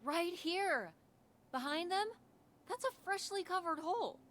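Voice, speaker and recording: A woman's voice: extremely high pitch, expressive, unhurried, fairly bright tone, heavily acted. A woman playing a curious, wry teenage daughter in a naturalistic video game performance. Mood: curious, excited.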